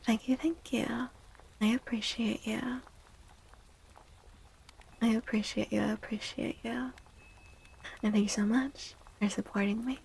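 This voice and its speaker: Casting: female ASMR stream host, soft and breathy, slightly creaky, unhurried, hushed and gentle. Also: on the high side, fairly even intonation, low-quality recording. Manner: slow, hushed, gentle, often slipping into near-whisper. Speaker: livestream host. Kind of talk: soft-spoken ASMR livestream chat.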